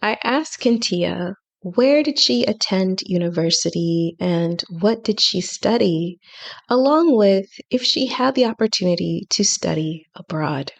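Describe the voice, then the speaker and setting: A woman's voice: medium pitch, lively intonation, measured pace, gravelly. Enthusiastic woman hosting a podcast. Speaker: podcast host. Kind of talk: podcast introduction.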